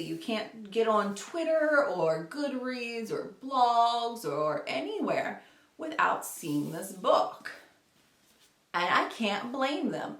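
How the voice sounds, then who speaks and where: A woman speaks casually to camera as a book vlogger, rambling and correcting herself along the way. Her mid-pitched voice moves up and down with lots of animation. The sound is roomy, with noticeable echo around her words. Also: fairly bright tone. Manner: chatty, rambling, self-correcting. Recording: roomy, echoey home recording.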